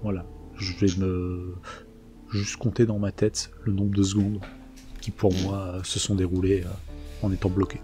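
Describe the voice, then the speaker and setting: A man playing a character in a tabletop game, speaking in a measured, deliberate and slightly formal way. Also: deep voice, little pitch movement, warm tone, soft background music. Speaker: player.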